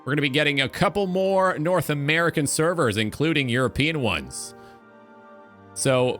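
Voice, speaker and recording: Bright-voiced, animated man hosting a livestream. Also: medium pitch. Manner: informal, swearing freely. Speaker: live stream host. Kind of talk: casual livestream talk show.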